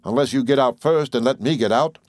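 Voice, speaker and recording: A man's voice: medium pitch, normal speed, gravelly, fairly bright tone, dry recording, clean studio sound. A man voicing a tipsy, defiant working-class man with a whining, stubborn, ungrammatical way of talking in a storybook reading.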